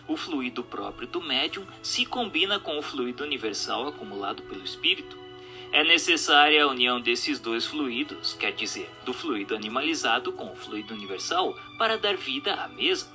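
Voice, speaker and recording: A man with a Brazilian accent reads in a very bright, forceful voice with animated intonation. His delivery is formal and didactic, like dramatized narration of a solemn text, with every phrase clearly set out. Music plays faintly behind him. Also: mid-range voice. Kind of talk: dramatized reading of a spiritual text.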